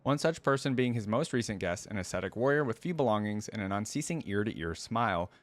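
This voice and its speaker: Man, lower voice, dry close sound, reaction host, story reading with casual musing asides. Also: lively intonation, a little fast, dry recording. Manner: casual, musing, thinks aloud.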